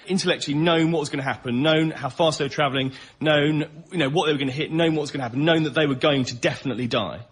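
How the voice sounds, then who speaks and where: A man's voice: on the high side, racing, hardly any pauses. A man on a comedy panel, ranting incredulously and repeating phrases for emphasis. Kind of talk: comic ranting and banter. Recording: noisy radio recording with studio audience.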